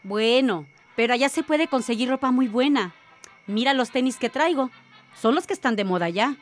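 A woman's voice: fairly high pitch, expressive, moderate pace, faint music behind, close to an everyday voice. A woman playing a boastful, persuasive aunt back from working abroad, in a radio drama.

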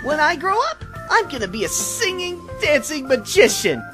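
An excitable mascot bear brags in a squeaky, high voice. The delivery is showy and bouncy, with music underneath. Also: female voice, moderate pace. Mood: excited. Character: excitable, boastful cartoon bear mascot in a video game.

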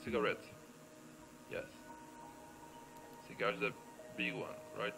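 A man's voice: mid-range voice, level tone, slow pace, creaky, rough recording. A man giving casual let's play commentary, thinking aloud in short, hesitant questions and playfully joking with the game's characters. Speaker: gamer playing a point-and-click adventure. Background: game music.

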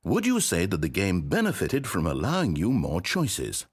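A man's voice: low voice, huge pitch swings, normal speed, breathy edge, exaggerated voice. A man playing a fussy, controlling video game narrator who talks in a dry, sarcastic, mock-patient way. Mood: wry.

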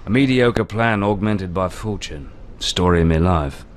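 A sly, low-voiced pirate captain. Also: male voice, measured pace, a little hiss or hum. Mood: wry. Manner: sardonic, theatrical, sly. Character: roguish, wry male pirate captain. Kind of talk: scripted video game cutscene acting.

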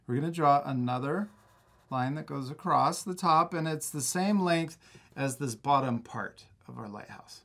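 A man's voice: a little high, creaky, a bit bright. A man in his thirties teaching a step-by-step drawing lesson for children, upbeat and encouraging. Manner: upbeat, encouraging, explains slowly. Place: art studio.

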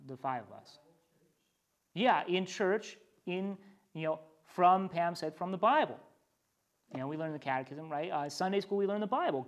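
An American man teaching a small church study group, moving between reading aloud and informal explanation in a conversational way. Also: fairly high pitch.

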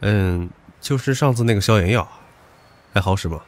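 Man acting a brash, low-voiced young hothead. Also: moderate pace, natural voice. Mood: taunting. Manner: mocking, crude, provocative. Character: brash, hot-tempered, taunting young male subordinate. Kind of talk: modern audio drama acting.

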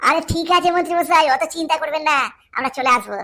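Dim, cheeky sidekick for comic cartoon, female performer: very high, bright, silly. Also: animated, fast, exaggerated voice. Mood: eager, puzzled. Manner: silly, chatty, eager. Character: dim, cheeky male henchmen of a minister.

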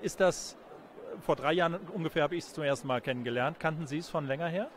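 A man's voice: a little high, quick pace, a little hiss or hum. A man in his forties asking questions conversationally as a journalist conducting an interview. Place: busy event courtyard in daylight. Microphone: handheld reporter microphone. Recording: outdoor urban ambience behind voice.

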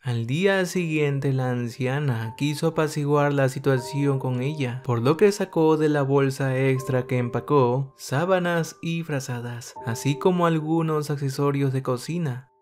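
A man narrates a dramatic family tale in a calm, level reading voice. His pitch sits right in the middle for a man. Faint guitar music runs quietly beneath his words. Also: a little slow, few pauses. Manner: even, steady reading that speaks every character's lines in the same voice. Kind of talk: scripted storytelling narration. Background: soft guitar music.

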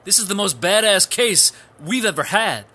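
Blunt gung-ho police boss from a male actor, husky, slightly high, for a comedy radio play. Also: expressive, moderate pace, pushed and bright, exaggerated voice. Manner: enthusiastic, matter-of-fact.

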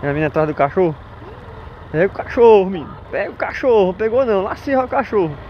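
A man's voice: high-pitched, fast. A man from northeastern Brazil, chatting upbeat and jokingly as he vlogs from a motorcycle on city streets. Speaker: motovlogger. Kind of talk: casual vlog narration while riding. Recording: dull lo-fi with faint street noise.

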